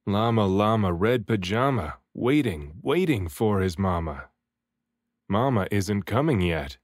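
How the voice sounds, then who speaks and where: Slow, expressive male storybook reader. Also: on the low side, no room sound, clean studio sound. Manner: deliberate, rhythmic phrasing of rhymes. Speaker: children's book reader, voice actor. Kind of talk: expressive picture-book read-aloud.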